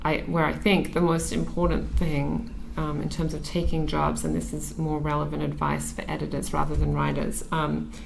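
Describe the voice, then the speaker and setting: A woman from Australia speaks as a podcast guest in a lowish voice with plenty of vocal fry. She talks thoughtfully and earnestly, often pausing on um and restarting her sentences. A faint hiss of noise sits behind her. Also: monotone. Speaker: interview guest, magazine editor. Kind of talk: relaxed conversational interview.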